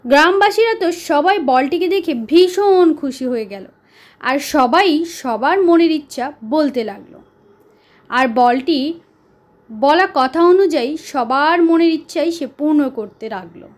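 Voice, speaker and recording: A woman narrating a children's story as a storyteller, deliberate and pausing for dramatic effect. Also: sits high, lively intonation.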